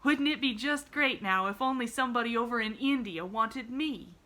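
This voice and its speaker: A woman's voice: a little high, normal speed, sharp tone, pitched above the performer's own voice. A woman voicing a plain-spoken poor boy with a drawling rustic way of talking. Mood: wistful.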